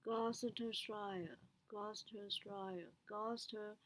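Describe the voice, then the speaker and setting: Slow-reading woman learning English. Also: medium pitch, fairly even intonation, a bit bright, a little hiss or hum. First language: Chinese. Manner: halting, repeats words to practise them. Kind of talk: reading news aloud as pronunciation practice.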